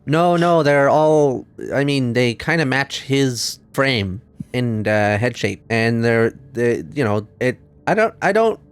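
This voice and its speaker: Casting: flustered, earnest adventurer voiced by a roleplayer, mid-pitched, hedging and stumbling over words. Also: lively intonation, slow, few pauses, smooth, dry recording. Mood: flustered, defensive. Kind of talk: in-character tabletop roleplay.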